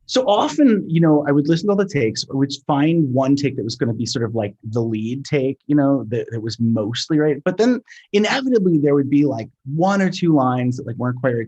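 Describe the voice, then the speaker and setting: A man in casual conversation, explaining his work in a halting way, thinking aloud and repeating words as he goes. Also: mid-range voice, dark tone, husky, muffled recording. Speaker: sound designer, interview guest.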